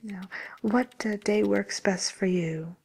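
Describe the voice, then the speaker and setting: A woman playing a polite, tactful matchmaker for villains in an ASMR roleplay, with a soft, whispery voice and hesitant, courteous service patter. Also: mid-range voice, takes it slowly, gravelly, slightly rough, exaggerated voice. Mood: helpful, courteous.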